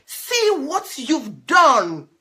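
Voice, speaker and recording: A woman's voice: high voice, wildly swooping pitch, extremely slow, sharp tone, pitched above the performer's own voice, heavily acted. A woman voicing a small, caring female sparrow in a storybook, earnest and exclaiming. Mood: scolding.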